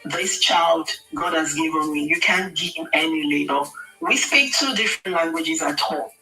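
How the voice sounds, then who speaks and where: A woman's voice: low-pitched, moderate pace, pushed and bright, echoey room, recorded from a distance, faint music behind, poor audio. A Nigerian woman speaking as a radio guest, giving earnest, pleading advice in a preacherly way. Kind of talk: impassioned advice on air. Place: radio studio.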